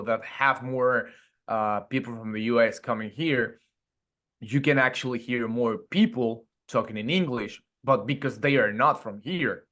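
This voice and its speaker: Man, from Mexico, native Spanish speaker, relaxed, fillers and restarts, interview guest. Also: mid-range voice. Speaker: gym owner, guest. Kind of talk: casual interview chat.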